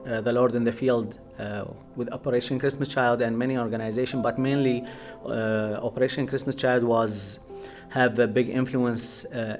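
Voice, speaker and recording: A man from Iraq with an Iraqi accent, a pastor giving personal testimony in a halting way, searching for words. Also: mid-range voice.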